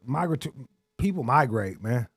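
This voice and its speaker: A man's voice: mid-range voice, lively intonation, moderate pace, breathy edge. A man speaking as a podcast guest in slangy, profane casual chat, rambling with frequent restarts.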